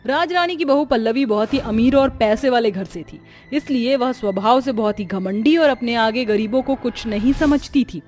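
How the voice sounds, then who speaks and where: A woman's voice: on the high side, moderate pace, darkish tone, faint music behind. A woman narrator reading a scripted family story for a cartoon.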